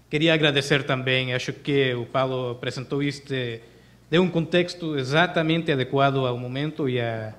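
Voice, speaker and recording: Man giving a halting podium speech. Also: a little high. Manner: halting, frequent fillers, reading from notes. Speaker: US consul general giving opening remarks. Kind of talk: formal seminar speech. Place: conference auditorium, at a podium. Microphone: podium microphone.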